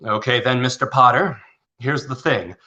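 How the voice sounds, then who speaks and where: A man's voice: mid-range voice, moderate pace, sharp tone, dull audio, natural voice. A man playing a decent, harried middle-aged father in a radio play, pleading earnestly, kind but firm.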